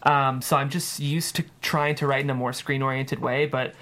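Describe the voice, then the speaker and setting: A man's voice: mid-range voice, fairly even intonation, normal speed, a bit bright. A man speaking as a guest screenwriter in a casual interview, explaining things thoughtfully.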